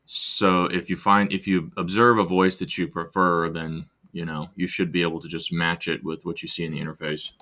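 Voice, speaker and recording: A man's voice: low voice, little pitch movement, moderate pace, hardly any pauses, bright, forceful tone. A man hosting a casual screen-recorded walkthrough, talking loosely and rambling with restarts as he explains his steps. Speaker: video host demonstrating software.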